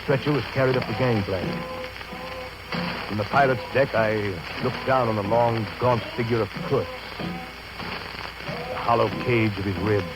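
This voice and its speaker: An actor playing a grave, reflective riverboat captain, narrating in solemn, literary phrases for a 1930s radio drama. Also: male voice, mid-range voice, steady tone, measured pace, long pauses, over loud music, close to an everyday voice, low-quality recording. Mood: solemn, brooding. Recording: old radio broadcast recording.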